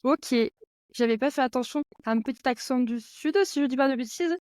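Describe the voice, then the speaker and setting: A woman's voice: high-pitched, fairly quick, a little mellow, dry recording, clean studio sound. A woman hosting a podcast, asking questions in a friendly, upbeat way. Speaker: podcast host. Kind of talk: casual podcast interview. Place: near Paris.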